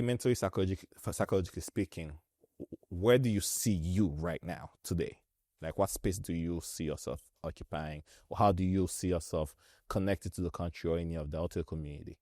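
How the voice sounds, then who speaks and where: A man in his thirties with a Haitian accent, hosting a relaxed discussion with his guests and laughing easily. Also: low voice, dry recording, studio quality. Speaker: host. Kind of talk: conversational discussion.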